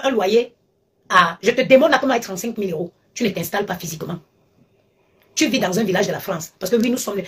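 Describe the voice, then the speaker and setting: A woman with a fairly high, husky voice lectures her viewers on a live stream. She talks emphatically and hammers each point by repeating it. It sounds like a phone recording. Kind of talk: animated live-stream rant.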